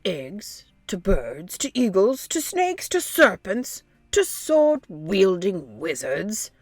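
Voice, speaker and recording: A woman's voice: mid-range voice, huge pitch swings, slow, no room sound, full character voice. A woman voicing a skeptical, nagging camel with a blunt, doubting manner in a children's storybook. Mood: exasperated.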